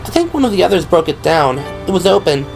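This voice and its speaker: A man's voice: high-pitched, big pitch swings, fast, vocal fry, pitched above the performer's own voice, full character voice, rough recording. A man voicing a nervous, babbling eighteen-year-old female rookie medic in an audio drama, self-deprecating in manner. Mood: nervous, apologetic. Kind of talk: audio drama character acting. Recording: music behind the voice.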